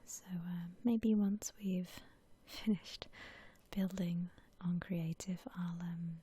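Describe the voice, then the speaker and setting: A woman whispers her way through a game in ASMR style. Her voice is very breathy and almost unvoiced, soft and mellow in tone. She takes her time, relaxed and gentle, with slow phrasing. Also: lowish voice. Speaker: video game streamer narrating her building session.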